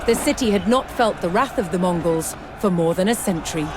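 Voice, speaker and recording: A woman reading scripted documentary narration in a measured, dramatic storytelling manner. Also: fairly low pitch, noisy background. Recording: music under the voice. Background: music.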